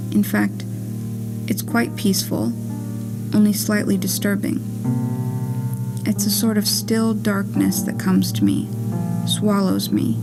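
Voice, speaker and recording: A woman's voice: medium pitch, rough recording. A woman reading a scripted, letter-like monologue for a puppet play in a wry, plainspoken storytelling way. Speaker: reader of own written play. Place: live theatre performance with music. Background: music.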